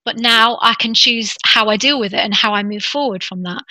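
A woman's voice: on the high side, brisk, pushed and bright, no echo, poor audio. A woman talking in an earnest, encouraging way, with frequent restarts and fillers. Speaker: guest. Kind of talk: casual conversation.

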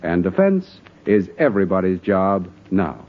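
A man's voice: low-pitched, very animated, unhurried, a little hiss or hum. An American man working as a radio announcer, reading scripted continuity in a 1940s broadcast style. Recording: thin, narrow-band 1940s radio recording.

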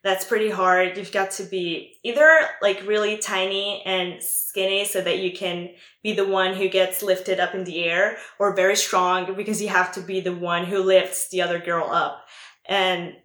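A young woman from Italy speaks with an Italian accent that carries an American tinge. She answers casually, filling pauses with little fillers. Her voice sits at a middle pitch and rings with room echo. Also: level tone, fairly bright tone. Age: young adult. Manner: chatty, many fillers like "um" and "like". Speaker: guest, former exchange student.

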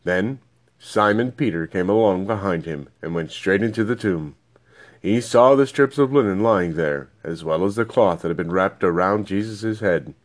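A man's voice: low voice, normal speed. A man reading scripture aloud as scripted narration. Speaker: Bible reader.

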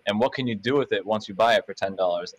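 Man, somewhat low pitch, dry sound, informal panel guest, plain-spoken doubter. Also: fast, no room sound. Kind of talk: casual opinion in a discussion.

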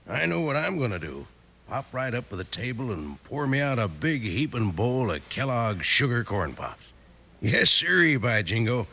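An actor playing a folksy, exuberant American cowboy pal pitching to children in a 1950s radio advertisement. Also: male voice, bright, forceful tone, full character voice. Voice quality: gravelly.

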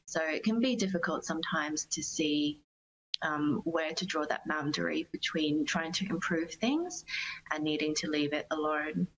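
A woman's voice: low voice, normal speed, a little hiss or hum, low-quality recording. A woman giving reflective, earnest interview answers as a guest musician, phrasing her thoughts carefully. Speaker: guest, singer-songwriter.

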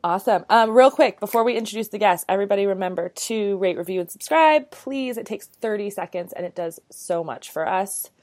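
A woman's voice: medium pitch, lively intonation, fairly quick, hardly any pauses, darkish tone. A woman in her thirties co-hosting a podcast, talking in a chatty, bubbly way with lots of casual fillers. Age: 30s. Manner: chatty, bubbly, many "like" and "you know" fillers. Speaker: podcast co-host.

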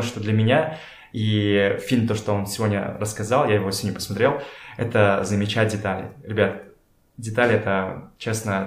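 A man talking casually as a podcast guest, telling stories in a halting way as he searches for words. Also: fairly low pitch. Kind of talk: casual conversational storytelling. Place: indoors in a home. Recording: echoey room.

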